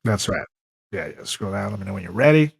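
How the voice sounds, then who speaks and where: A man's voice: on the low side, expressive, fast, creaky, breathy edge, clean studio sound. A man hosting a comedy podcast, moving between casual banter and reading a comic story aloud. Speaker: podcast host. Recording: echoey room with music behind.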